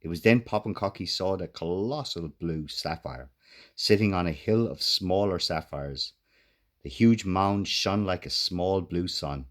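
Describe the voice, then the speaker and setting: A man reading a children's storybook aloud as an expressive narrator. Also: low voice, unhurried. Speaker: author reading own children's book.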